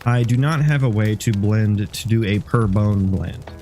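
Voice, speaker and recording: Sniffly man, dark mellow tone, low voice, rambling casual dev talk. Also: a little slow, soft background music. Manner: rambling, thinks aloud with fillers. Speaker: game developer.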